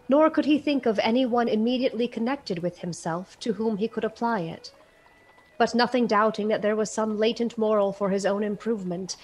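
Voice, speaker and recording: A woman narrating a dramatic story from a script in a measured, solemn manner. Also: medium pitch, darkish tone, rough recording.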